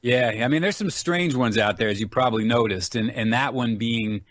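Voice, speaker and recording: Male interview guest, medium pitch, chatty and keen, informal storytelling, very dry acoustic. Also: normal speed, fairly bright tone, no echo. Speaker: guest, film writer and enthusiast. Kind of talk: casual interview chat.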